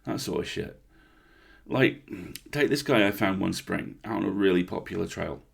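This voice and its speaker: A man's voice: low voice, normal speed, vocal fry, slightly rough, full character voice. A man voicing a blunt, rattled park ranger in a horror story, confiding and swearing in an incredulous, conversational way. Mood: uneasy, confiding.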